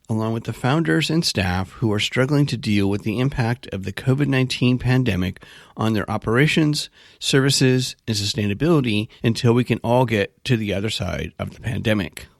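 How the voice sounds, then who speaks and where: A man with an American accent and a gravelly voice, hosting an interview program in a scripted presenting style. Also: mid-range voice, normal speed, no echo, studio quality. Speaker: podcast host and interviewer. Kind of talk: scripted introduction and interview narration.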